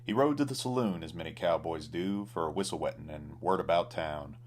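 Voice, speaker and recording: A man with a low voice reads a scripted comic Western tale. He delivers it as a storyteller, dramatically and in rhythm. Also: brisk. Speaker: storyteller, author reading own ballad.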